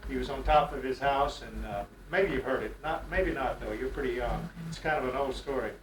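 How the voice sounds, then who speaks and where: A man's voice: medium pitch, fairly quick, distant mic. A man, rambling folksily through a birthday message as a grandfather. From East Texas. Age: 60s. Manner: rambling, folksy, joke-telling. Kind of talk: casual home video message. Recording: echoey room, off-mic, faint noise.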